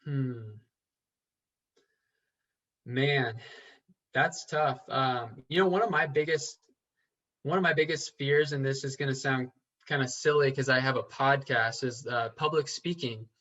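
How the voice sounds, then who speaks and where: A man speaking as a podcast guest in an earnest, halting way, often stopping to restart his sentences. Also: mid-range voice, sharp tone. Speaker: podcast guest, real estate investor. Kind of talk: casual interview chat. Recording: slightly dull lo-fi sound.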